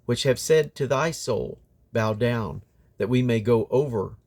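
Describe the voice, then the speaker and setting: A man reading scripture aloud in a solemn, declamatory way, with deliberate phrasing in short units. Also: mid-range voice, darkish tone. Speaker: Bible reader.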